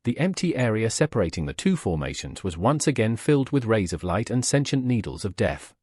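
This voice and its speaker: A man narrates a scripted audiobook story. His voice is husky and moves up and down in a lively way. The sound is dry and close, with no room echo. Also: lowish voice, brisk.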